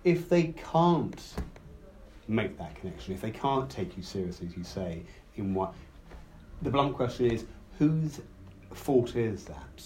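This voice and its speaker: A man's voice: lowish voice, big pitch swings, unhurried, a little mellow, slight background noise, rough recording. A man hosting a podcast, asking long questions hesitantly while he searches for words. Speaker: podcast host. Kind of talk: interviewing.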